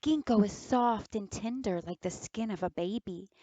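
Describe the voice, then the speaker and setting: A woman's voice: mid-range voice, normal speed, warm tone, no echo, close to an everyday voice. A woman voicing a clever, wary eldest girl in a folktale, questioning and politely crafty. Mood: sweetly crafty.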